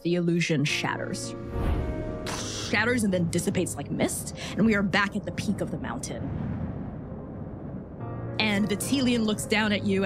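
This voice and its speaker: A woman narrating an improvised tabletop game as game master, describing the scene in a conversational way. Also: medium pitch. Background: music.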